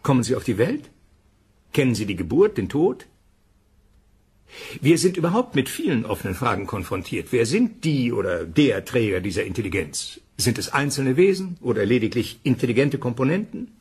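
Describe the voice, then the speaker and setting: A musing, emphatic male scientist speculates in a radio play interview. His very raspy voice moves in lively swings. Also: mid-range voice, moderate pace. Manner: speculative, rhetorical questioning. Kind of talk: radio drama acting as a broadcast interview.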